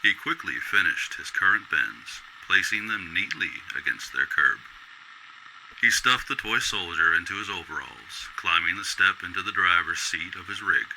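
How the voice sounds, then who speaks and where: A man's voice: sits low, animated, normal speed, background noise. A man narrating a scripted radio drama as a storyteller.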